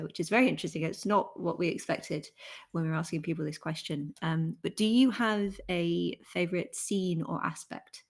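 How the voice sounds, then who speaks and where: A woman's voice: low-pitched, gravelly. A woman interviewer chatting casually, informal and agreeable in her way of talking.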